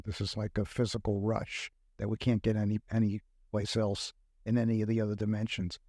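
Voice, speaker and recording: An older man from Brooklyn with a New York accent, talking reflectively as a podcast guest in a studio, pausing often with fillers. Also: fairly low pitch, dark tone, dry recording. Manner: reflective, halting with many fillers. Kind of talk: casual podcast conversation. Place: recording studio. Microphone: close studio mic.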